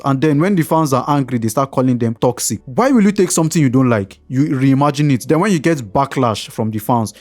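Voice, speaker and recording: A man's voice: on the high side, no echo. A man with a Nigerian accent giving opinionated gaming news commentary, repeating points and asking rhetorical questions. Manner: animated, repetitive, rhetorical questions.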